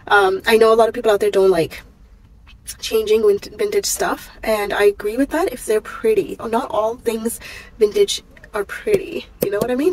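A woman's voice: fairly high pitch, a little hiss or hum, rough recording. Chatty woman with a sick voice. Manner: chatty, rambling with fillers. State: sick, voice strained. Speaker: vlogger. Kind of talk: casual thrift vlog. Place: parked car, daytime. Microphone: phone at arm's length.

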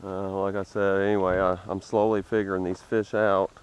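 A man's voice: low voice, flat delivery, moderate pace, smooth. A man hosting a fishing vlog, chatting plainly to viewers from the bank of a rural canal. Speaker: fishing vlogger. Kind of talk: casual fishing commentary. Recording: faint outdoor noise.

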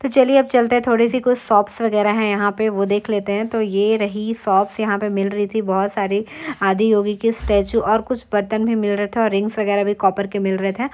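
A young woman narrating her travel vlog in a chatty, informative voice-over, speaking straight to her viewers. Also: medium pitch. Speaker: travel vlogger.

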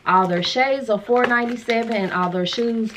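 An American woman in her thirties chatting casually to camera in a sassy, slangy, animated way, as a vlogger showing a haul. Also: on the high side, normal speed, a little hiss or hum. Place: furnished room at home, indoors.

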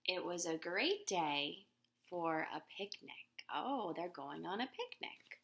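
A woman in her thirties reading a picture book aloud to children in a gentle, expressive teacher voice, recorded on a laptop webcam in a living room. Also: slow, vocal fry. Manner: gentle, expressive teacher voice, raises pitch for quoted characters. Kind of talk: children's read-aloud with questions to viewers. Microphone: laptop webcam at close range.

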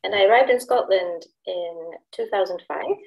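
Relaxed woman on a video call. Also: mid-range voice, normal speed, creaky, rough recording. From El Salvador. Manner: relaxed, laughs often, trailing off with fillers. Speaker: guest. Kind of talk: casual interview. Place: home room, video call.

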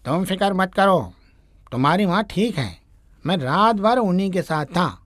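A woman voicing a kind, reassuring young magical man in an animated story. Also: on the low side, unhurried, no room sound, clean studio sound. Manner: calm, reassuring. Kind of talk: Hindi animated moral story acting.

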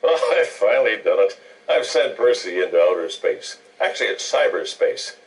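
Low-voiced older man, cooking host. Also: animated, normal speed, very noisy. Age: 60s. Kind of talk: casual TV cooking banter and presenting. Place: TV studio kitchen. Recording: distant mic, old TV broadcast tape.

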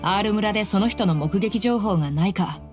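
A woman's voice: medium pitch, brisk, darkish tone, faint music behind, close to an everyday voice. A woman playing a bold, easygoing mercenary in a video game, tomboyish, blunt and familiar. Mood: supportive.

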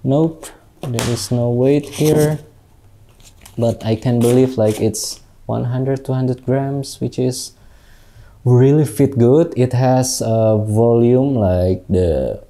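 A young Indonesian man with an Indonesian accent, a tattoo artist, unboxing gear in halting English, recorded on a lapel mic in a small studio. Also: medium pitch, mellow. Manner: unhurried, halting non-native English with many fillers. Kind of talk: casual product unboxing.

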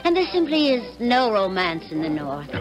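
A woman's voice: mid-range voice, sing-song, normal speed, lightly acted, low-quality recording. Woman playing a poised, theatrical period actress. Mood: charming, coquettish. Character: bold, romantic, shrewd Confederate-era stage actress. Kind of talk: 1970s radio drama acting. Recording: vintage radio drama with music.

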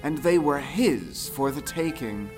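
A man narrating a folktale audio play with dramatic, expressive storytelling. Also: fairly high pitch, unhurried. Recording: long reverb, music underneath.

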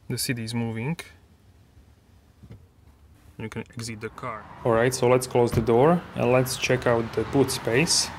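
Man talking casually inside a car. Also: medium pitch. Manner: relaxed, halting, many fillers and restarts. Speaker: car reviewer. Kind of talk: casual walkaround car review. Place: inside a parked car, then outdoors at a dealership lot. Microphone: handheld phone camera.

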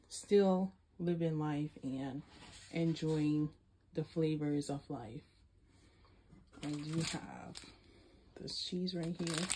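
A woman casually talking over her meal prep vlog as she shows her groceries, relaxed and trailing off at times. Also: low-pitched, slight background noise. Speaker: vlogger. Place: home kitchen.

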